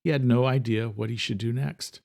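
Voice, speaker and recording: A male audiobook narrator with a medium-pitched voice. He reads a scripted thriller in a measured, dramatic storytelling style. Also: fairly even intonation, creaky, darkish tone.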